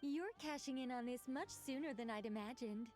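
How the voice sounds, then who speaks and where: An actress voicing an ancient angelic mage in a video game, formal and ceremonious with a sly, playful streak. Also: female voice, high voice, normal speed, a little mellow, soft background music, close to an everyday voice. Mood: poised, knowing. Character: ancient angelic female mage, poised, grand, slyly playful. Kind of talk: scripted video game dialogue acting.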